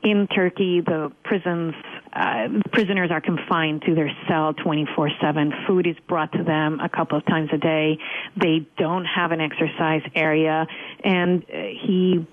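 A woman's voice: low-pitched, steady tone, measured pace. A middle-aged Cuban American woman with a Cuban accent, answering interview questions earnestly with frequent fillers. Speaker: religious freedom advocate guest. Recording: tinny phone line.

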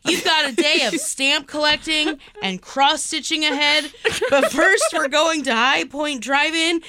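A woman's voice: high-pitched, a little slow, bright, forceful tone. A woman in her thirties in casual podcast banter, playful and often laughing through her words as she reads out silly lines. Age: 30s. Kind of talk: casual banter, reading a silly story aloud.

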